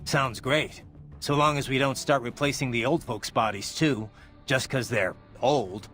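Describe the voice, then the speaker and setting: An actor playing a grim, brooding male cyborg mercenary in a video game, with a gravelly voice and a terse, dry, sardonic way of talking. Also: medium pitch, measured pace, fairly bright tone, close to an everyday voice. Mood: sober, dry.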